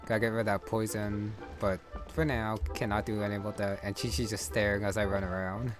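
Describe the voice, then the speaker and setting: A man thinking aloud over gameplay in a relaxed, musing way, his voice sitting low. Game music plays clearly behind him. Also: fairly quick. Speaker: gaming channel host. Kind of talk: casual gameplay commentary.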